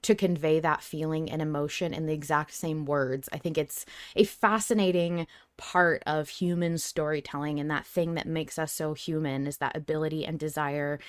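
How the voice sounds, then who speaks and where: A woman's voice: sits low, steady tone, moderate pace, hardly any pauses, clean tone, no room sound, clean studio sound. A woman co-hosting a podcast, talking casually and reflectively in an earnest way. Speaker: podcast co-host. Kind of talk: casual discussion of a fiction podcast.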